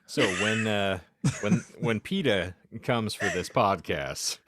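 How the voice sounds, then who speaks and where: A man's voice: fairly low pitch, animated, slow pace, a bit bright, dry recording, studio quality. A man hosting a podcast with playful, flowery wording and casual comedic banter. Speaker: podcast host.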